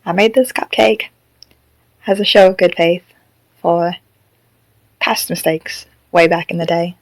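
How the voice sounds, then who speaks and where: A woman voicing a calm, polite male living marionette in a fan audio drama, with a measured manner. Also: lowish voice, no echo.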